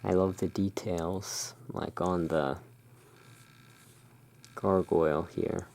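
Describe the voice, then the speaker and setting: A person narrating in a soft, hushed, deliberate ASMR style while presenting cards as a host. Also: low voice, steady tone, vocal fry.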